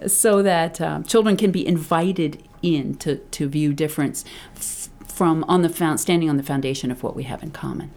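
A woman who illustrates children's books, talking thoughtfully in an interview, often pausing, restarting and laughing. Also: low voice, a little mellow. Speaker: children's book author and illustrator. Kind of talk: reflective interview talk.

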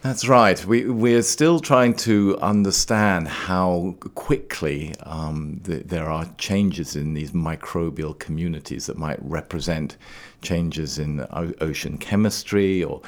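Very deep-voiced man explaining in measured phrases. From Trinidad, later Scotland. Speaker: research laboratory director, interview guest. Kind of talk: explanatory interview talk.

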